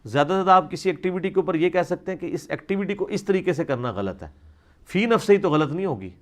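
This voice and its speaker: A man's voice: sits high, animated, quick pace. A man answers religious questions in an informal, explanatory lecture, reasoning conversationally as a scholar. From South Asia.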